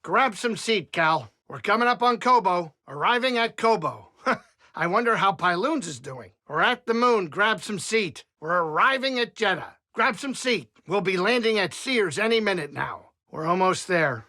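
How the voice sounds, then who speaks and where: A small-time alien starship pilot from a video game, high-voiced and bright. He grumbles and wisecracks in a folksy way, good-hearted underneath, with very lively swings of pitch in a dry, close recording. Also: moderate pace, dry recording. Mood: brisk. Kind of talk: animated video game character dialogue.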